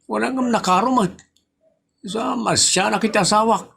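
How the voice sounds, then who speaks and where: A woman's voice: medium pitch, normal speed, gravelly, a bit bright, husky. A woman voicing an exasperated, skeptical, worried husband in a comic audio drama, with an Ilocano accent and grumbling complaints. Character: exasperated, skeptical husband worried about his sick wife.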